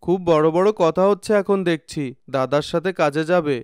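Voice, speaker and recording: A man's voice: fairly high pitch, normal speed, darkish tone, smooth, no echo, clean studio sound, lightly acted. A man voicing a complaining young village son and older brother in a cartoon. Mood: defensive. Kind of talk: cartoon dubbing.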